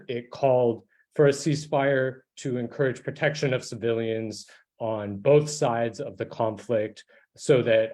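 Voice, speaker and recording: A man with a mid-pitched voice speaks as a caller at a public meeting. He is earnest and emphatic, delivering a prepared statement of advocacy. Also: a little mellow. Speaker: public commenter calling in.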